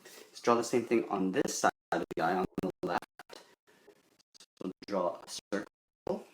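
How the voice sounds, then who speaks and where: A man's voice: low voice, unhurried. A man giving a step-by-step drawing tutorial in simple, methodical instructions. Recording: roomy echo with faint background noise.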